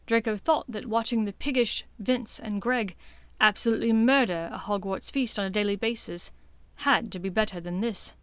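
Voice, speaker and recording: A woman's voice: medium pitch, steady tone, normal speed, few pauses, a bit bright, clear, resonant tone, dry recording. A woman reading scripted fiction as an audiobook narrator.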